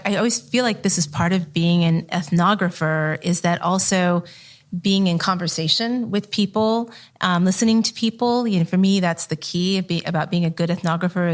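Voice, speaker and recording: Woman ethnographer, thoughtful, filler-heavy, slightly low pitch, panel talk. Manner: thoughtful, many "you know" fillers. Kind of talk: roundtable discussion.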